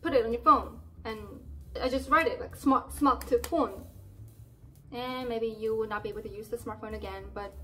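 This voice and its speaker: Young woman, Korean accent, high clear voice, playful upbeat teacher, soft music underneath. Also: fairly even intonation, moderate pace. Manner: cheerful and playful, with emphatic exclamations. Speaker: language teaching vlogger. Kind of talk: upbeat direct-to-camera lesson. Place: indoor room by a plain wall.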